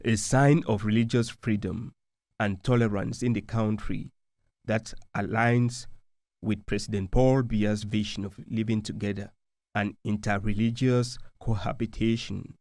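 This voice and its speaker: A man reading a scripted news report as a voice-over, slow and deliberate. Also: fairly low pitch, fairly even intonation, a little mellow, no echo.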